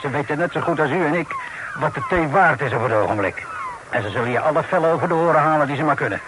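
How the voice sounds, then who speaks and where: An actor playing a shrewd, plain-spoken skipper in a radio drama, with a folksy, grumbling manner. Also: male voice, a little high, lively intonation, quick pace, close to an everyday voice. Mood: grumbling, practical. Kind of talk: old radio drama acting. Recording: noisy old radio play recording.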